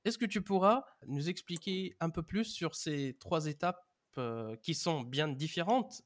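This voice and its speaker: A man hosting a podcast, reading a measured, prepared introduction before putting questions to his guest. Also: fairly high pitch. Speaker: podcast host. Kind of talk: scripted intro then interview questions.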